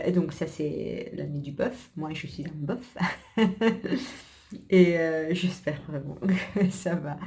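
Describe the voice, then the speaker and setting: A woman with an Italian accent chats slowly and loosely on her own podcast, her voice low and mellow. She stops and restarts often, hunting for words between fillers, and laughs softly at herself. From Italy. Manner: hesitant, many fillers and restarts, frequent laughs. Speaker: podcast host. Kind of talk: casual personal podcast chat.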